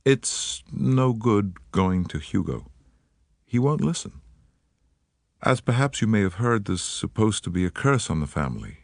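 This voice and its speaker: A man voicing a determined American woman of about thirty with an American accent, earnest and quick. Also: on the low side, sing-song, gravelly, no room sound, clean studio sound, heavily acted.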